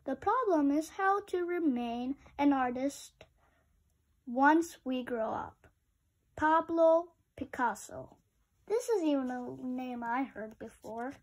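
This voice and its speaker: Female read-aloud host, high pitch, slow delivery, informal and childlike in commentary. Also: animated. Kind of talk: reading a picture book aloud with casual asides to children.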